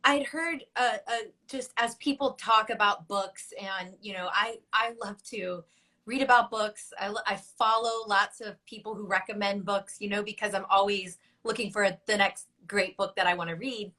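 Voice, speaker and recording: A woman's voice: medium pitch, fairly even intonation, moderate pace, sharp tone. A woman hosting a book club interview, asking her questions with enthusiasm and repeating words as she goes. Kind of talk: conversational interview.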